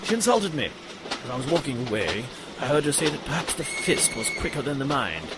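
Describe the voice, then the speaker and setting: A man's voice: medium pitch, very animated, fairly quick, very noisy, close to an everyday voice. A man voicing a bumbling, vain doctor sidekick in a comedic audio drama, flustered and pompous.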